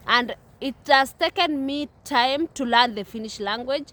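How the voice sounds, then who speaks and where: Kenyan woman, young, high voice; earnest vlogger, restarts a lot, recorded outside. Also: unhurried, fairly bright tone. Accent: Kenyan English. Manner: repetitive, earnest, many restarts. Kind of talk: casual talk to camera. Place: outdoors at a playground.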